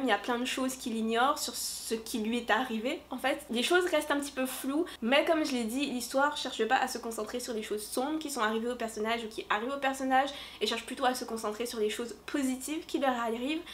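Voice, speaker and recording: A woman vlogger and fantasy author reviewing books in a casual, upbeat way, with frequent filler pauses, filmed in a room she decorated for Halloween. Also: a little high, a bit bright, slight background noise. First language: French. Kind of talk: casual book review to camera.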